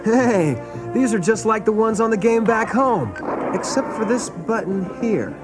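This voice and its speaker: A man's voice: high-pitched, measured pace. A man acting an earnest, plucky teenage boy pilot in a storybook read-along drama. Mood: eager. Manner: earnest, expressive. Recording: music and effects behind.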